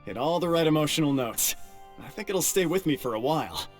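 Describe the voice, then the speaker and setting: A man playing an appreciative theatregoer in a video game, speaking in a measured, plain way. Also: on the high side, animated, music in the background, natural voice. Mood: impressed. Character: appreciative theatregoer giving his measured verdict.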